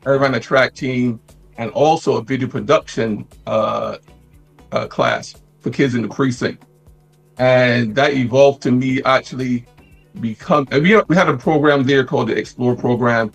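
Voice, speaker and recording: Gravelly, husky middle-aged man from Barbados. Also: mid-range voice, moderate pace. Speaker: interview guest, retired police detective. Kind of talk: conversational interview storytelling. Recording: music playing behind the voice.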